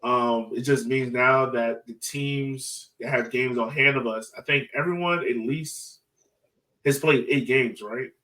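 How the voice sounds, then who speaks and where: A man hosting a casual soccer fan podcast, talking in an animated, slangy way. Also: mid-range voice, a little slow, far from the mic, low-quality recording. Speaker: sports podcast host.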